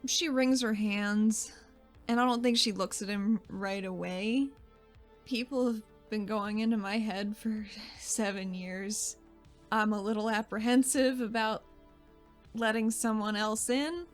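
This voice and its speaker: A woman's voice: mid-range voice, measured pace, faint music behind. A woman playing a tabletop roleplaying game, improvising casually as her character and chatting at the table.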